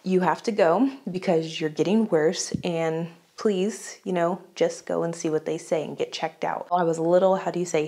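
Young american woman vlogging at home, bit stuffy from a cold, lowish voice, lapel mic. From United States. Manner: chatty, animated, laughs often, gestures with her hands. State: slightly congested from a cold. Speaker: YouTuber. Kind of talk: casual storytelling vlog. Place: small home room indoors.

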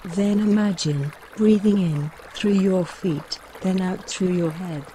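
A woman leads a guided meditation in a soft-toned, mellow voice. She speaks very slowly, with gentle, measured phrasing and room between instructions. A stream of water trickles behind her. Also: fairly low pitch, a little hiss or hum. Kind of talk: guided mindfulness body scan narration. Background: trickling woodland stream.

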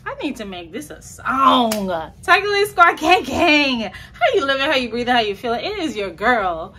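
A woman's voice: high voice, sing-song, normal speed, bright, forceful tone, a little hiss or hum. A young woman from New York chatting to camera in her bedroom, talking casually with slang and playful asides. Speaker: hair vlogger. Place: small bedroom, indoors.

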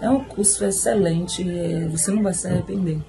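A woman's voice: fairly low pitch, steady tone, brisk, gravelly, over music. A woman with a Pernambuco accent giving a selfie video testimonial, hesitating with fillers and restarts. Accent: Pernambuco Brazilian Portuguese. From Recife, Brazil. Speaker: course student giving a testimonial. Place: indoors, home room. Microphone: phone at arm's length.

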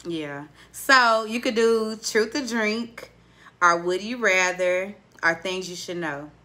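Bright-voiced chatty woman podcast host. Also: mid-range voice, slow. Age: 20s. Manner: chatty, expressive, teasing. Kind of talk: casual podcast interview. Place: small indoor studio. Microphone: studio mic on boom arm.

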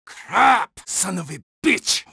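A man's voice: high voice, lively intonation, slow, sharp tone, husky, no room sound, studio quality, exaggerated voice. An actor playing an arrogant, foul-mouthed gunman in a video game, pompous and contemptuous as he barks insults and combat lines. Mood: exasperated. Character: arrogant, foul-mouthed male gunman who thinks himself king.

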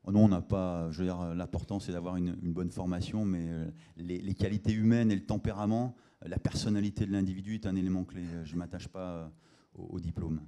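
Deep, very dark-toned halting male panelist. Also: steady tone. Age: middle-aged. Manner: halting, repeats words. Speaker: commercial director, conference panelist. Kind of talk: panel discussion. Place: conference auditorium stage. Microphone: handheld microphone.

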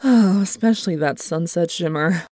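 A woman's voice: fairly low pitch, moderate pace, dark tone, no room sound, lightly acted. A woman voicing a weary, exasperated grown-up pony princess in a cartoon comic dub, with a dry, sighing manner. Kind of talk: fan comic dub voice acting.

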